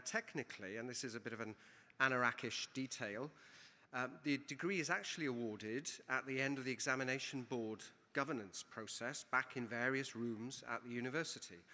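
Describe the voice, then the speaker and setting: Bright-voiced man in a hall. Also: mid-range voice. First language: Welsh. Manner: playful, theatrical and eloquent, switching between Welsh and English. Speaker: university provost presiding over a graduation. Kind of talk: ceremonial welcome speech. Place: large ceremony hall. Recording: long hall reverb.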